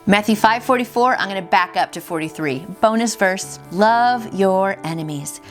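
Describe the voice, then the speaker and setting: A woman's voice: medium pitch, faint music behind. A woman hosting a morning devotional, reading a passage and adding chatty asides in her own words. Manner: warm, chatty asides, slows for scripture. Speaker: devotional host. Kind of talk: reading a daily devotional with casual commentary.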